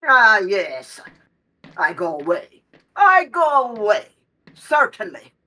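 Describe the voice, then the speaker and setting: Sly Italian madam in stage drama: halting broken English, wheedling, slow, sharp-edged. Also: female voice, medium pitch, very animated, full character voice. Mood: indignant. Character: wheedling foreign madam, sly middle-aged procuress speaking broken English. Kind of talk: stage play acting.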